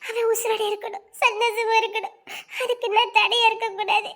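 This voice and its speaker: A distraught teenage village girl in a cartoon melodrama. The voice is extremely high, almost childlike, and plaintive. She speaks emotionally, with a southern Tamil Nadu accent. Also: little pitch movement, fairly quick, a bit bright. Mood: despairing, tearful. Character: distraught seventeen-year-old South Indian village girl, dramatic.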